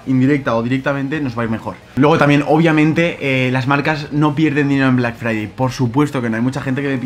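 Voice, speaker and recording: A young man from Spain in his twenties, chatting fast to a handheld camera, explaining things to his viewers. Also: medium pitch. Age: 20s. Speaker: vlogger. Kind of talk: casual vlog talk to camera. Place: car, parking lot, then room at home. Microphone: handheld camera at arm's length.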